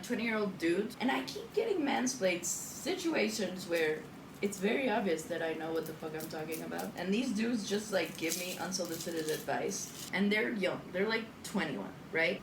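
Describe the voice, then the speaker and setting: A woman's voice: mid-range voice, moderate pace. A young woman, chatting and rambling animatedly through stories while cooking in her home kitchen. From Mexico. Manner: rambling, animated storytelling with swearing. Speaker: cooking vlogger. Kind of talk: casual chatty cooking vlog. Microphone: far camera. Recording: roomy kitchen sound from a distance, audible noise.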